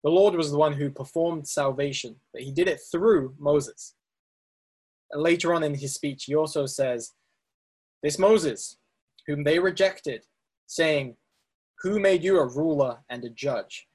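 A man's voice: on the high side, unhurried, a little mellow, slightly rough, rough recording. A man teaching a Bible study session, explaining step by step with fillers and restarts, speaking to slides on a screen. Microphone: presentation recording with on-screen slides.

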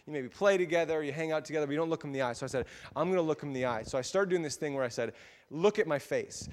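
Male preacher, fairly high voice, animated storytelling, echoing church hall. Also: very fast. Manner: animated, acts out quotes with big pitch jumps. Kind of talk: storytelling sermon. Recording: long hall reverb.

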